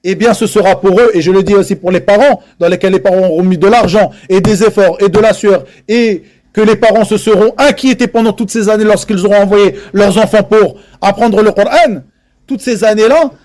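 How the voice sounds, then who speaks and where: A man with a notably high voice delivers a religious lesson to a seated audience. He speaks earnestly and with emphasis, often repeating a phrase to drive it home. He slips Arabic religious expressions into his French. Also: brisk, a little mellow. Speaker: religious teacher.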